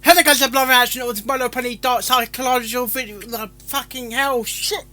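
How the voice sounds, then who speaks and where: A man's voice: very high-pitched, steady tone, fairly quick, bright, forceful tone. A man chatting casually as a live-stream reactor, stumbling over his words and interrupting himself. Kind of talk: casual live-stream chat with rehearsed intros.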